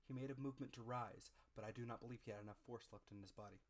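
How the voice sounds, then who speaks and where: A man narrating an adventure story as a dramatic audiobook reading, measured and expressive. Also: medium pitch.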